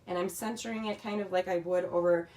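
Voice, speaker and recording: A woman with a slightly raspy voice, chatting casually as she demonstrates a scrapbooking kit in her home craft room. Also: lowish voice, fairly even intonation, fairly quick, a little mellow. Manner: chatty, talks while working with her hands.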